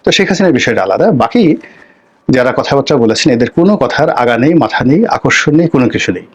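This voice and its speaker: A middle-aged man with a Bangladeshi accent gives unscripted political commentary and personal anecdotes, phrasing things deliberately with drawn-out pauses. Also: mid-range voice, animated, slightly rough, muffled recording. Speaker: political commentator, former parliamentarian.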